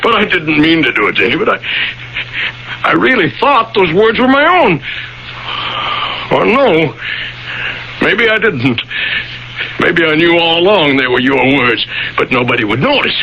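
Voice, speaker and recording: A man's voice: a little high, very animated, a little slow, long pauses. An actor playing a tormented, guilt-ridden, self-loathing male author in a radio drama, speaking with agitated, repetitive, anguished phrasing. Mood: ashamed, confessing. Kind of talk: old-time radio drama acting. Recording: dull, faintly noisy 1980 radio broadcast.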